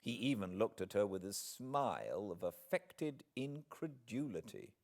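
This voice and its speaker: A man's voice: on the low side, lively intonation, unhurried, a little mellow. A man with a British accent giving a dramatic literary narration as an audiobook reader. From Britain.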